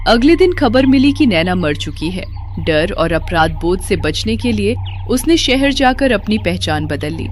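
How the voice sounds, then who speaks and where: Animated woman narrating horror. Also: mid-range voice. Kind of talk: dramatic scripted horror narration.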